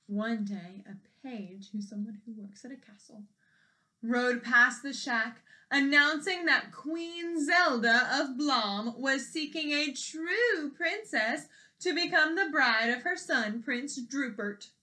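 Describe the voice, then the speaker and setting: A woman's voice: high voice, slow pace, pushed and bright. A woman reading a children's story aloud expressively, explaining and posing questions as if to young listeners.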